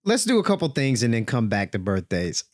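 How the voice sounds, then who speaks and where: A man co-hosting a podcast in a laid-back, casual way, quick to laugh. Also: mid-range voice, huge pitch swings, no echo, clean studio sound. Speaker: podcast co-host.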